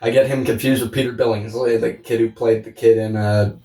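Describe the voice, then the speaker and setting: A man's voice: medium pitch, steady tone, a little fast, dark tone, a little hiss or hum. A man co-hosting a podcast in casual chat, rambling and joking, with profanity and self-deprecating humor. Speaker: podcast co-host. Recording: echoey room, distant from the mic.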